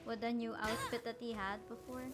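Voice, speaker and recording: A woman casually commentating as she livestreams a game, playful, slangy and quick to react. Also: a little high, flat delivery. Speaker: game streamer. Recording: game music under the voice.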